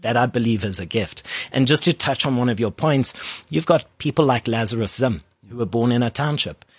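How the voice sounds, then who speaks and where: A man's voice: medium pitch, lively intonation, a little fast, slightly rough, no echo. A man who is an author and coach, answering interview questions earnestly and speaking in catchy motivational sayings. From born in South Africa, raised in Germany.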